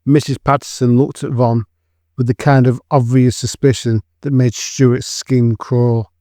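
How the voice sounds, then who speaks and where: A man's voice: mid-range voice, level tone, a little slow, dark tone, dry recording, clean studio sound. A man reading scripted dramatic narration as the narrator of an audio drama.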